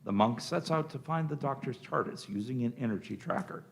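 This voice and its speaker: A man's voice: medium pitch, lively intonation, brisk, gravelly. A man reading scripted narration for a live radio play.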